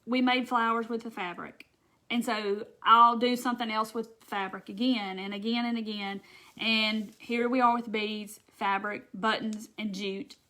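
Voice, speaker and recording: Host, female, Southern US accent, bright higher voice, chatty and folksy on a craft live stream. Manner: chatty, folksy, laughs often, addresses viewers by name. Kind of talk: casual chat reading viewer comments.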